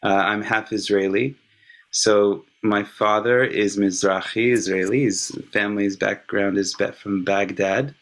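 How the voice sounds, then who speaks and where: A man born in California whose first language is Hebrew, speaking as an interview guest in a reflective, measured way. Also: fairly low pitch, steady tone, fairly bright tone. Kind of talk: casual interview.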